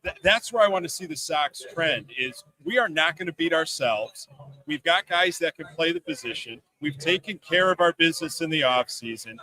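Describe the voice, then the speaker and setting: A man's voice: a little high, expressive, moderate pace, bright, forceful tone, poor audio. A man giving casual, emphatic sports commentary as a podcast guest, repeating himself to stress a point.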